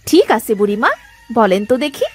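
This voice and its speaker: A woman voicing a sharp-tongued but caring village wife in a cartoon, with expressive domestic-drama delivery. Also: fairly high pitch, a little fast, breathy edge, soft background music, full character voice. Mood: caring, friendly. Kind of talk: Bengali moral-story cartoon dubbing.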